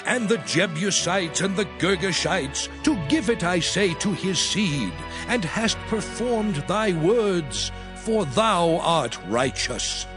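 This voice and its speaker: High-voiced fervent Levite at prayer. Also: male voice, animated, slow, few pauses. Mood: reverent praise. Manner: declamatory, reverent. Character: fervent male Levite praying aloud to God. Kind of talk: dramatized scripture reading, solemn prayer. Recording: music bed under the voice.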